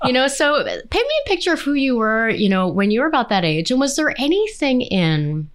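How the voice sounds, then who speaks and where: A woman's voice: on the high side, sing-song, brisk. A middle-aged woman hosting an interview in a casual, conversational way, musing her way loosely into questions. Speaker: interview host.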